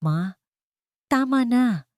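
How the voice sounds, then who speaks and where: A woman's voice: a little high, expressive, very slow, no echo, studio quality, voice pushed up. A woman voicing a torn, pleading adult son and husband in a dramatic story, weary and desperate. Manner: pleading, conflicted.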